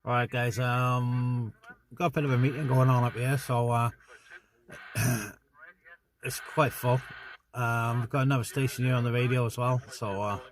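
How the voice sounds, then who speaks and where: A man's voice: medium pitch, level tone, moderate pace, long pauses, low-quality recording. A man chatting casually and a little hesitantly as he hosts a CB radio net. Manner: hesitant, informal. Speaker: CB radio net host and vlogger. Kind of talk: casual rambling chat to camera and radio. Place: outdoors on a hilltop by a car.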